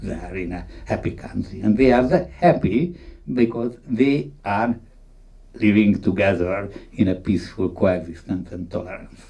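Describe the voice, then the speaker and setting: Man, dark low-key tone, lowish pitch, halting emphatic delivery, conference talk. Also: creaky, recorded from a distance. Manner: halting, emphatic, non-native English phrasing. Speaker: conference speaker on film history. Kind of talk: unscripted talk retelling film scenes.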